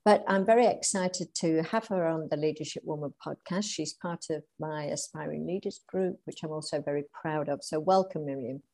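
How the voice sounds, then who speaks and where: A woman hosts a podcast and puts encouraging, guiding questions to her guest. Her voice sits a little low for a woman. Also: moderate pace, few pauses, darkish tone. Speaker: podcast host. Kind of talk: interview questions.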